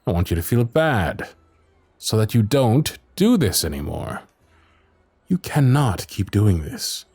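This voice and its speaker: Man acting a loyal, animated fantasy knight. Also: fairly low pitch, a little slow, slightly rough, exaggerated voice. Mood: stern, pleading. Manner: old-fashioned courtly phrasing, teasing and earnest. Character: young loyal royal knight, teasing, exasperated, protective. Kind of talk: ASMR roleplay acting, fantasy audio drama.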